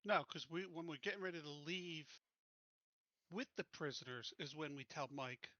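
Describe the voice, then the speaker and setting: A man's voice: on the high side, moderate pace, bright, forceful tone, no echo, muffled recording. A man playing a tabletop game, talking casually in a blunt, informal way.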